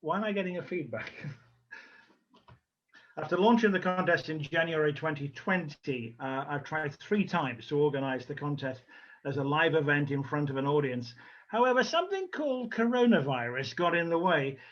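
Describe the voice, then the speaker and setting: A man hosting an online event informally and haltingly, speaking over a video call. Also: fairly high pitch, expressive. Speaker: host and organiser of a pageant. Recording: muffled lo-fi video call audio.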